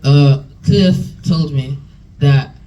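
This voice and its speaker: A man's voice: on the high side, very slow, dark tone, far from the mic, highs cut off. A young man, mentee telling a story to a room, chatty with many fillers and restarts. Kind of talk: nervous personal story at a talk. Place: room with an audience. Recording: from across a room, faint room noise.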